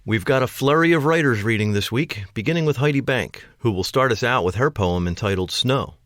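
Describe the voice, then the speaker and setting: A man's voice: mid-range voice, expressive, brisk, a bit bright, no echo, studio quality. A man hosting a podcast, reading a scripted introduction. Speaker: podcast host.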